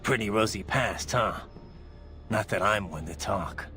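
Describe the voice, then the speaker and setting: A man's voice: on the low side, unhurried, sharp tone, soft background music. An actor playing a brooding male cyborg soldier in a video game, terse and subdued with a bitter edge. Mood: subdued, rueful. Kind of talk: video game radio dialogue.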